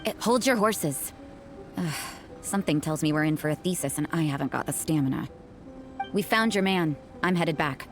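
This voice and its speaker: Jaded game nun: low female voice, dry, aloof, world-weary. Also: normal speed, soft background music. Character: jaded, aloof adult woman, hardened church sister. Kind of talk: video game voice acting.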